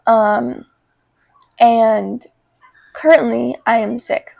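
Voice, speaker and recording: A young woman vlogging casually about her life, congested with a cold, talking in an informal, halting way. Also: fairly high pitch, steady tone, gravelly, no room sound, narrow, tinny audio. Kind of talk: casual chat to camera.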